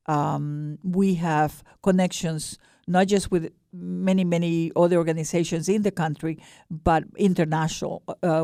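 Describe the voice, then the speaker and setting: A mature woman with an Ecuadorian Spanish accent gives a radio interview in a low, gravelly voice. She speaks earnestly, often stopping and restarting, and the sound is dry and close. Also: dark tone, no echo. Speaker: guest, board director of a peace nonprofit.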